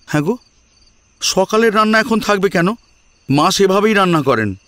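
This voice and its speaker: A man's voice: sits high, animated, normal speed, fairly bright tone, no echo, voice pushed up. A man playing an exasperated, demanding young husband who nags indignantly in an audio drama. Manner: indignant, nagging.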